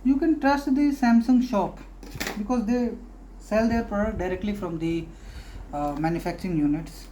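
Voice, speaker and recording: A woman hosting a casual product unboxing, talking haltingly in short phrases with pauses. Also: mid-range voice, dark tone, a little hiss or hum. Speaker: unboxing video host.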